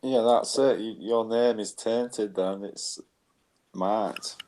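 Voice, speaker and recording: A man's voice: on the low side, fairly even intonation, slow, background noise. A man co-hosting a casual talk show, voicing opinions loosely and often ending with tag questions. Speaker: co-host.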